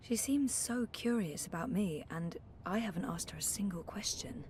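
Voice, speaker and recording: A woman playing a young video game heroine's inner thoughts, hushed, reflective and musing. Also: mid-range voice, lively intonation, moderate pace, close to an everyday voice. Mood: pensive. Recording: faint background noise.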